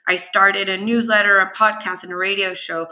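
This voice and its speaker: A woman in her thirties from Venezuela, a startup founder talking casually as a podcast guest, relaxed and chatty. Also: medium pitch, moderate pace. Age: 30s. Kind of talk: casual interview talk.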